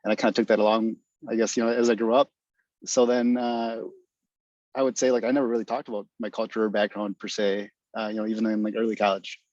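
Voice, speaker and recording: Fast-talking young man, dull call audio. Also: fairly low pitch, flat delivery, a little mellow, no echo. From United States (Wisconsin), born in Guatemala. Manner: hesitant, lots of "uh," "like," "I guess". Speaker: study abroad alumnus panelist. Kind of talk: casual panel answer. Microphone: video call.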